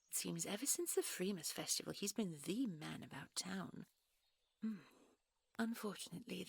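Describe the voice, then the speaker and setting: A woman playing a gentle, secretive fairy princess in an intimate audio roleplay, with a soft, breathy voice and a genteel, coy, polite manner. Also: mid-range voice, dry recording, exaggerated voice. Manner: genteel, coy, old-fashioned polite phrasing. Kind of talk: intimate audio roleplay acting, one side of a dialogue.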